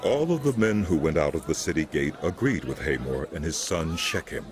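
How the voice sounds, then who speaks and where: A very low male voice narrates scripture with solemn, measured storytelling. His intonation moves widely, and music plays clearly underneath. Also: animated.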